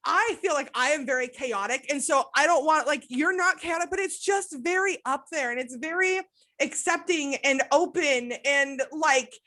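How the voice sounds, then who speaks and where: High-voiced gushing woman host. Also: pushed and bright. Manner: effusive, gushing, quick asides. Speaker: podcast host. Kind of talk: casual interview chat.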